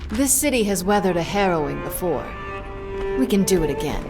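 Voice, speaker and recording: A woman's voice: lowish voice, sing-song, brisk. A woman playing a hard-edged pirate captain in a video game, cool, curt and commanding. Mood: brisk, commanding. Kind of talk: dramatic video game acting. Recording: clear music behind the voice.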